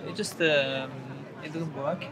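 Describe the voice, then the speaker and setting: A man from Syria with a Syrian accent, speaking halting English full of fillers and stammers in a street conversation. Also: on the high side, fairly even intonation. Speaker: passer-by being questioned. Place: busy city street outdoors. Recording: loud crowd noise outdoors. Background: loud crowd.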